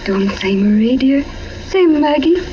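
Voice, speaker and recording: An actress playing a devoted, emotional young wife in a 1940s radio mystery, gushing and dramatic. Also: female voice, high voice, lively intonation, measured pace, mellow. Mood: elated, tender. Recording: dull lo-fi old radio broadcast.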